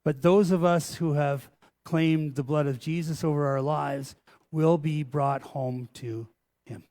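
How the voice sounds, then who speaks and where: A male pastor preaching in a fairly high voice, earnest and emphatic. He takes his time, leaning on repeated phrases for weight. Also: steady tone, a little slow, warm tone. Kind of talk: sermon.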